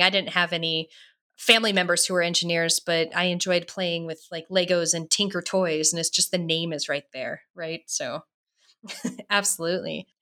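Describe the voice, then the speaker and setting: A woman's voice: on the low side, bright, forceful tone. A woman hosting an interview podcast, reading a guest's introduction and asking upbeat questions. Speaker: podcast host.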